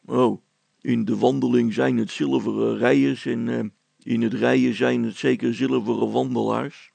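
A man puts on a sardonic village policeman for a children's story. He grumbles and needles in everyday speech, with a very gravelly, husky, hoarse voice at medium pitch. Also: steady tone, normal speed, a little mellow, no echo. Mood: sneering. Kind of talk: children's story character acting.

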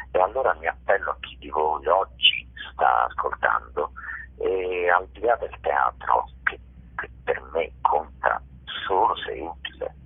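A southern Italian man with an extremely low, gravelly-rough voice talks like a stage storyteller being interviewed. He is emphatic and circles back on phrases. The sound is narrow and tinny, like a phone or radio line. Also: no room sound. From Basilicata, southern Italy. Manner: emphatic, repeats phrases for effect. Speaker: theatre author, director and actor. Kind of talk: interview, passionate storytelling about own stage work.

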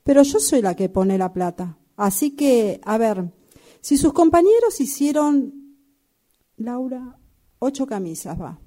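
Radio drama boss played by a woman: scornful, domineering, curt, fairly high voice with a soft dark tone. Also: big pitch swings, a little slow. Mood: scornful, demanding. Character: bossy, scornful woman boss of a sewing workshop. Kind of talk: improvised radio drama workshop acting.

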